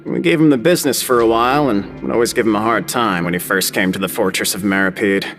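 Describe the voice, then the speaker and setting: An actor playing a guarded, plain-spoken prison gang member in a video game. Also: male voice, fairly low pitch, fast, a bit bright, soft background music. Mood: admiring. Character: guarded male prison gang member, tough, admiring follower.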